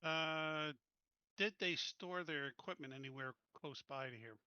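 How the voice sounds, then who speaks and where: A man's voice: on the high side, a little slow, sharp tone, clean tone, dry recording, lo-fi sound. A man chatting casually as a player in a tabletop roleplaying game.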